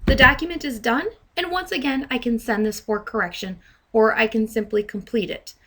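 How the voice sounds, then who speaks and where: A woman with a fairly high voice works as a software trainer, narrating a demonstration. She speaks in a deliberate, instructional way and pronounces each dictated command distinctly. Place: voice-over to a screen recording.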